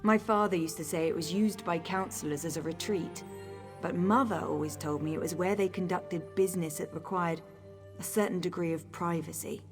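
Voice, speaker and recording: A woman's voice: lowish voice, steady tone, normal speed, over music, natural voice. An actress playing a headstrong young noblewoman training as an assassin in a video game, speaking with stubborn earnestness. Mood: conspiratorial. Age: young adult. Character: headstrong young noblewoman turned assassin's apprentice, grieving, vengeful.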